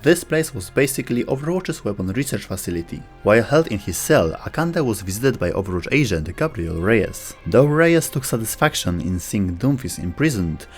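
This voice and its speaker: A man's voice: on the low side, very animated, moderate pace, hardly any pauses, a little mellow, faint music behind. A man giving scripted, documentary-style narration for a game lore video.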